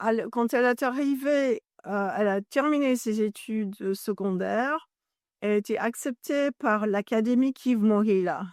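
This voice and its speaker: A woman's voice: on the high side, a little mellow, no room sound, clean studio sound. A woman from Ireland whose first language is English, a journalist and author explaining at length as an interview guest, often searching for French words and correcting herself.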